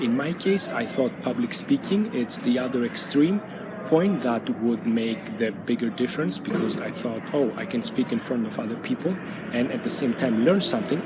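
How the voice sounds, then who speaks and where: A man, hosting his own channel and giving earnest, measured advice with frequent pauses and fillers, recorded outdoors. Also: mid-range voice. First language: Greek. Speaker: channel host, stuttering coach. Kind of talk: motivational talk to camera. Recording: loud outdoor traffic noise.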